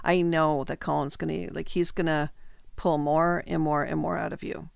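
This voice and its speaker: Woman, very low pitch, gravelly, enthusiastic interviewer, dry sound. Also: level tone, moderate pace, dry recording. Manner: enthusiastic and encouraging. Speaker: interviewer host. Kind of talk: conversational interview.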